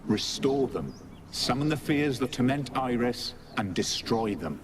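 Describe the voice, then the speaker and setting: An actor playing a cryptic spirit servant in a fantasy game, with a measured, knowing manner. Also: male voice, mid-range voice, lively intonation, noisy background, lightly acted. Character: cryptic male spirit servant of a painted world. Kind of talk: dramatic video game acting.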